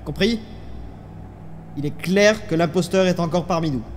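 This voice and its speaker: A man voicing a stern, suspicious astronaut captain who commands and interrogates in an animated fan dub. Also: a little high, normal speed, a little hiss or hum, lightly acted. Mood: commanding.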